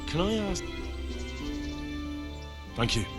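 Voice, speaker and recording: An actor playing a young blacksmith's son, terse and plain-spoken, in a medieval video game. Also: male voice, fairly high pitch, sing-song, slow, loud music behind the voice. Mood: polite.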